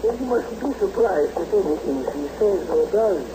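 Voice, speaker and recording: A cranky old hermit from a 1940s radio serial, chiding and gruff, with a voice that swoops high and low and sits above the usual male pitch. The old transcription carries a heavy, steady hiss under every word. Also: normal speed. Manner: crusty, chiding, old-fashioned rural talk.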